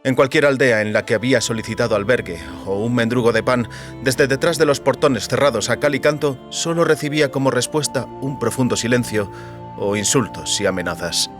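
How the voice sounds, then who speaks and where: A man's voice: medium pitch, brisk. A man narrating a literary audiobook in a dramatic, scripted storytelling style. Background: music.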